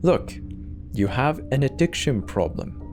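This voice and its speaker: A man's voice: medium pitch, sing-song, unhurried, over music. Man playing an anxious, protective dad. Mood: worried, pleading. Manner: urgent, pleading, emotional. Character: anxious, protective father of a teenage girl.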